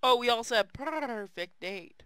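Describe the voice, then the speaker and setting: A woman's voice: fairly high pitch, expressive, measured pace, fairly bright tone. A woman hosting a live stream, chatting casually with her viewers and co-host.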